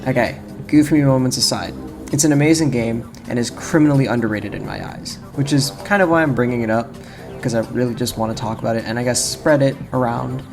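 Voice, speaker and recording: Young guy doing chill game video commentary, rambly, interrupts himself, music pretty loud behind him. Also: medium pitch, brisk. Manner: informal, rambling, self-interrupting. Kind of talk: casual video essay commentary. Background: prominent background music.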